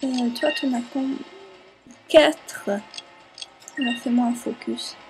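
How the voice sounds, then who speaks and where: A young woman whose first language is not French, giving casual let's play commentary, often pausing with fillers as she searches for words. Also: high-pitched, steady tone, slight background noise. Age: young adult.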